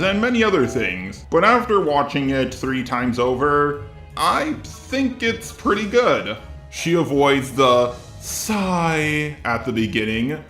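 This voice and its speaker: A man's voice: medium pitch, a bit bright, reverberant. A synthetic text-to-speech man's voice narrating a scripted commentary video. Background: background music.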